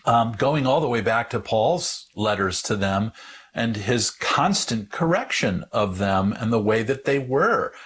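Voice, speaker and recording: A man with a husky, medium-pitched voice speaks as a podcast panelist. He explains religious material in a conversational teaching style, deliberately circling back on words to stress them. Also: lively intonation, a bit bright.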